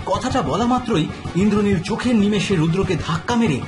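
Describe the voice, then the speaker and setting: A man's voice: high-pitched, animated, fairly quick, over music. A man narrating a scripted detective story in a dramatic style.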